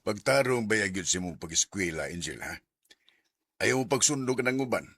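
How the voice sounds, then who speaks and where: Low, husky stern father. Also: expressive, brisk, a bit bright, full character voice. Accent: Cebuano Filipino. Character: stern, admonishing father of a schoolgirl. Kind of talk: Cebuano radio drama acting.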